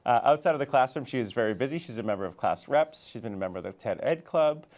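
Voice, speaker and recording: A man's voice: medium pitch, expressive, fast talker, a little mellow. A middle-aged man, a school dean, introducing and interviewing a student in a friendly, loose way with many fillers.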